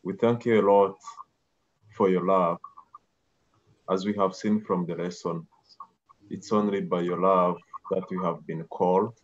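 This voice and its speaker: A man leading a spoken prayer in a slow, reverent manner. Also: low-pitched, steady tone. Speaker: church member leading prayer. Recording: dull lo-fi recording.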